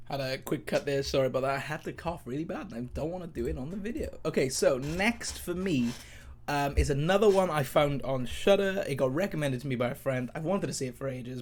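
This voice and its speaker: A man hosting a casual, rambling film review, enthusiastic and emphatic, his speech slightly impeded by a sore mouth. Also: fairly high pitch. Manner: enthusiastic, informal, emphatic and repetitive. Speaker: podcast host, film reviewer.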